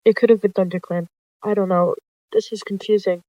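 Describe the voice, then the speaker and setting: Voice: mid-range voice, steady tone, fairly quick, dark tone, no room sound, lightly acted. A narrator acts out an anxious female clan cat who leads and keeps secrets. Her speech is hesitant and guarded, recorded dry and slightly dull in a roleplay story. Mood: uneasy.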